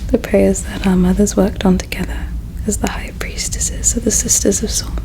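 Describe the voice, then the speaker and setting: A woman playing a grieving, devout alien in a whispered ASMR roleplay, speaking softly and solemnly in a breathy whisper with pauses. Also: medium pitch, steady tone, lightly acted, poor audio. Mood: reverent, gentle. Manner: slow, soft, solemn, with pauses. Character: grieving, devout alien priestess's daughter preparing someone for war.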